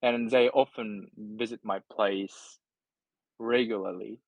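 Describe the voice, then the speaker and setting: A man from Japan with a Japanese accent, chatting casually as an English learner, halting and repeating words as he searches for phrasing. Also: fairly low pitch, fairly even intonation, creaky, no room sound, low-quality recording. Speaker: English learner in an online lesson. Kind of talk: casual conversation practice in English.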